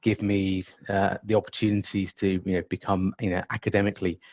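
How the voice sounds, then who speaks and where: Measured man on a dull line. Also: low voice, level tone, a little mellow. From England. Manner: measured and careful, with frequent "uh" and "you know". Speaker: interview guest, hospital clinical leader. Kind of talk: conversational interview answers.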